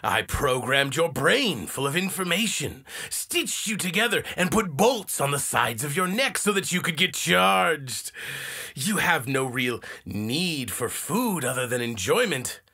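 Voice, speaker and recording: Man acting a husky, bright-voiced gentle scientist father. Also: on the high side, big pitch swings, unhurried, full character voice. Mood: gentle explaining. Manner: hesitant, earnest. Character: gentle, awkward scientist father who builds a monster daughter. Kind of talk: audio drama acting. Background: faint music.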